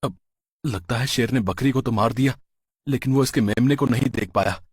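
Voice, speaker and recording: Man acting Ahmed, a husky kind farmer. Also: medium pitch, moderate pace, no room sound, studio quality, natural voice. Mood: tender. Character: Ahmed, kind-hearted, humble farmer in cartoon tale. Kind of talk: cartoon story character voice.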